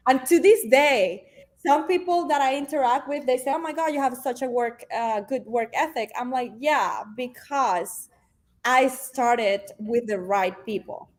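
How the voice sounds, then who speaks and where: Venezuelan lady, spanish first language, friendly chatty interview guest, lots of ums, higher voice. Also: a little slow. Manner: upbeat, chatty, many fillers like "honestly" and "um". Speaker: guest, realtor. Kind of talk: casual interview conversation.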